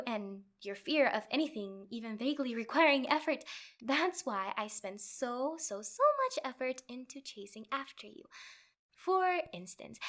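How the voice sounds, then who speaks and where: A woman playing a nervous, lovestruck and clingy yandere girlfriend in a comedic roleplay, halting and rambling as she talks. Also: a little high, fairly bright tone.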